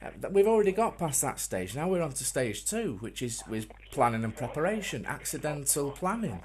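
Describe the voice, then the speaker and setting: A man making a prank call as a customer, speaking in a deadpan, mock-earnest way with hesitant, repeated phrases. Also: on the high side, fairly quick. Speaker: prank caller.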